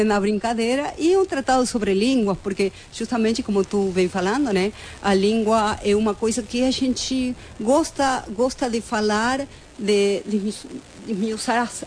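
A woman with an Argentine accent, a native Spanish speaker, speaks as an invited poet, laughing easily and pausing to find her words. Her voice is somewhat high, with a little noise behind her. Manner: laughing, hesitant, lively. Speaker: poet guest.

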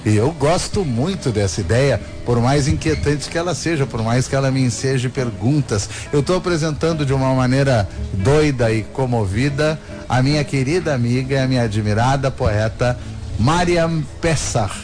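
A man's voice: medium pitch, normal speed, a little mellow, soft background music. A man hosting a book fair interview, talking effusively and digressively, with many restarts. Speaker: host.

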